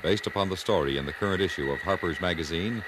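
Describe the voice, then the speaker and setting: A man giving a formal, measured, scripted introduction as a 1950s radio announcer. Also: deep voice. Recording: noisy 1950s radio broadcast.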